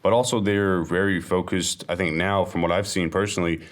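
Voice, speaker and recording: A man with a very deep, low voice talks through his views in a relaxed podcast panel. He hedges often and pauses on fillers as he works out his points. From South Asia. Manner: thoughtful, hedging with fillers. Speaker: podcast co-host. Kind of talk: casual panel discussion.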